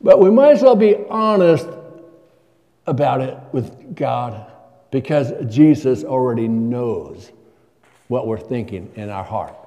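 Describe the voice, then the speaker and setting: A man's voice: mid-range voice, lively intonation, slow pace, mellow. An older man preaching a sermon from a church pulpit, asking his congregation questions in a conversational way. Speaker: guest preacher. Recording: long church reverb.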